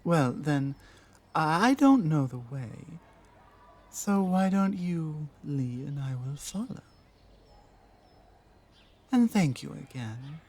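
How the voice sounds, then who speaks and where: Female orc warrior acted by a woman, lowered voice, slow formal delivery, earnest and unsure, ASMR fantasy roleplay. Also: darkish tone, husky, full character voice. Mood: deeply grateful. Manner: formal, measured, hesitant speech. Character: lost female orc warrior in big city, earnest, grateful.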